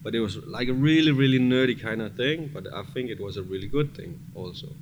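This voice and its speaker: A man's voice: medium pitch, fast talker, highs cut off. A man with a Danish accent, a music producer giving casual, emphatic interview answers in informal non-native English. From Denmark. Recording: clearly noisy background ambience.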